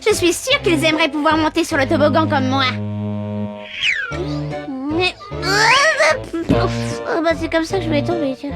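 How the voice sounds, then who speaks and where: A woman's voice: extremely high pitch, lively intonation, a little slow, long pauses. A woman voicing a bossy, greedy, scheming little girl in a cartoon video game, gloating as she talks. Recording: loud background music.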